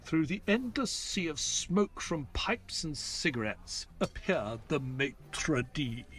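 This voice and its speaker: A man's voice: fairly high pitch, sing-song, fairly bright tone, heavily acted. An actor playing an educated, polite male doctor who narrates a detective tale in descriptive, scripted prose. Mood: measured, sombre. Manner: measured, descriptive storytelling, courteous in dialogue. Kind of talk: scripted narration and audio drama acting.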